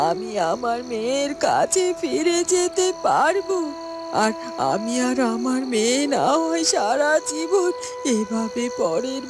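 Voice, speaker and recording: A woman playing a distraught captive mother, a poor housemaid in a cartoon, lamenting in a plaintive, drawn-out way. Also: high voice. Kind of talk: Bengali cartoon voice acting.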